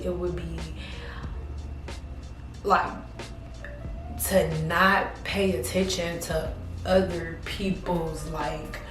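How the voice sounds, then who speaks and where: A woman's voice: sits low, echoey room, recorded from a distance, low-quality recording. Rambling woman vlogger in her thirties. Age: 30s. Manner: chatty, repeats herself, frequent fillers. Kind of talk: casual rambling talk to camera.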